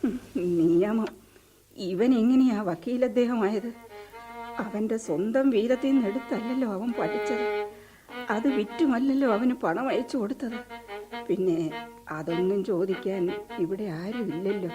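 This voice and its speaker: A woman's voice: mid-range voice, lightly acted. An actress playing a stern, bitter middle-aged village mother in an old radio play. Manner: slow, cutting and reproachful. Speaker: mother of the household. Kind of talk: 1970s radio drama acting. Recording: radio play with music behind.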